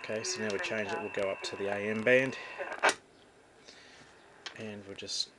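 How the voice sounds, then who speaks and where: A man's voice: fairly low pitch, fairly even intonation, normal speed. An Australian man casually demonstrating his radio hands-on, talking off the cuff with some hesitation. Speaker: radio hobbyist demonstrating a receiver. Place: indoors at a radio shack bench. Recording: loud shortwave radio static and hiss.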